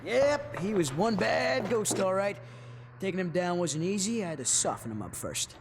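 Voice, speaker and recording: A man's voice: high-pitched, lively intonation, moderate pace, in a large hall, noisy background. A man voicing a cocky, reckless young ghost hunter in a cartoon, with a brash, boastful, slangy manner. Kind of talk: animated series dialogue.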